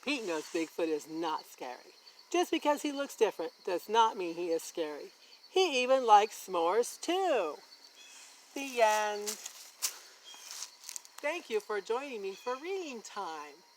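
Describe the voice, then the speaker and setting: A woman reading a children's picture book aloud as a story time host, in a deliberate, expressive way that points things out to young listeners. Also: mid-range voice, a little hiss or hum. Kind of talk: children's read-aloud narration.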